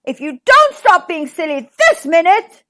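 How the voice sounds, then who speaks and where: An earnest, sensitive he-wolf from a storybook, as a woman reader puts him on. He talks theatrically, with a high, very animated delivery, eager to be liked. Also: moderate pace. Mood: hurt, indignant. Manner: polite, theatrical. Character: earnest, sensitive male wolf wanting friends.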